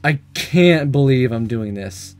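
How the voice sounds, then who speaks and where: A man reading a visual novel aloud and commenting on it in a playful, excitable, self-deprecating way. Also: mid-range voice, slow pace. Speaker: gaming video host. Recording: music under the voice.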